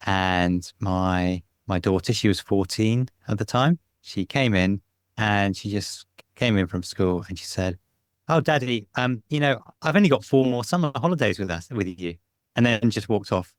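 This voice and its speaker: A middle-aged man from Britain tells a family story in a relaxed, chatty way, laughing now and then. His voice sits on the low side and sounds close and dry, with no room around it. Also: normal speed, smooth, dry recording. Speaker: podcast guest. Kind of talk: casual interview storytelling. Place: home, lives in Spain.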